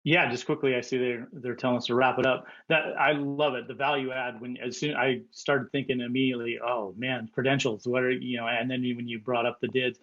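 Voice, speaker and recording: Flat-voiced man on a muffled call. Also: medium pitch, brisk, few pauses. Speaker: event participant. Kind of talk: quick feedback on a video call. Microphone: video call. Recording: dull lo-fi call audio.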